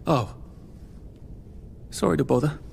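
A man's voice: a little high, takes it slowly, creaky, a little mellow, slight background noise, heavily acted. An actor playing an anxious, sarcastic British everyman in a video game, wordy and hesitant with a dry, incredulous manner. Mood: awkward. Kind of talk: video game cutscene acting.

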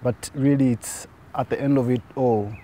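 Mellow-voiced man. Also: medium pitch, fairly even intonation, normal speed. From Zambia. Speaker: theology student. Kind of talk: interview answers.

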